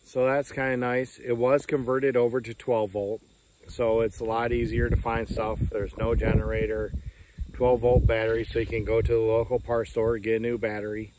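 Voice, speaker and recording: A man's voice: mid-range voice, level tone, moderate pace, background noise. A man in his forties giving a rambling, folksy walkaround of a tractor, talking into a phone held at arm's length outside on a farm. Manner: rambling, folksy, with fillers like "uh" and "you know". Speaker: homesteading vlogger. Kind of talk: casual walkaround vlog. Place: outdoors on a rural farm lawn. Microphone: phone camera at arm's length.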